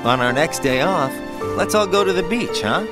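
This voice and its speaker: A man's voice: fairly high pitch, lively intonation, normal speed, over loud music, close to an everyday voice. An actor playing a cocky, friendly young man in a video game, casual and upbeat in his delivery. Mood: upbeat, eager. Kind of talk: scripted video game voice acting.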